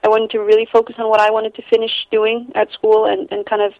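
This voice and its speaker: A woman's voice: on the high side, completely flat delivery, fast, dry recording, muffled recording. Rambling Icelandic woman, American-sounding. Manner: rambling with many restarts, laughs easily. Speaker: photographer guest. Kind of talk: casual interview conversation.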